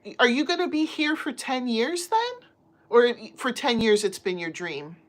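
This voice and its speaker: A woman's voice: on the high side, lively intonation, normal speed. Chatty woman hosting a home livestream. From United States. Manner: chatty, friendly, talks to chat viewers by name. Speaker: crochet livestream host. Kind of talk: casual live chat reading viewer comments. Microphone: phone on a stand.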